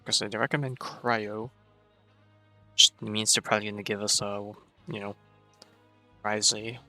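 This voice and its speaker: A man's voice: lowish voice, little pitch movement, moderate pace, bright, forceful tone, dry recording, faint music behind. A man giving casual, offhand commentary while playing a video game, thinking aloud as he goes. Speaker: streamer.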